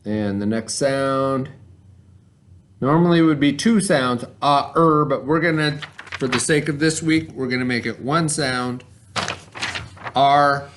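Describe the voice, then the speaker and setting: A man's voice: mid-range voice, takes it slowly, long pauses, fairly bright tone, a little hiss or hum. A man teaching a remote reading lesson to children in an informal, instructional way, carefully sounding out letters and words. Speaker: elementary school teacher.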